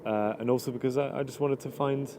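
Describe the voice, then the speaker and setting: A man with an English accent, answering interview questions in a halting, reflective way, often restarting sentences while he searches for words. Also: mid-range voice, fairly even intonation, mellow, slight background noise. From England. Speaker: videographer interviewee. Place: outdoors overlooking the ocean.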